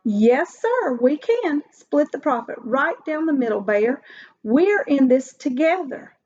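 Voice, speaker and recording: A woman voicing a clever, eager male hare in a storybook, with a persuasive, animated manner. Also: high-pitched, slow, voice pushed up, heavily acted. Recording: dull lo-fi recording.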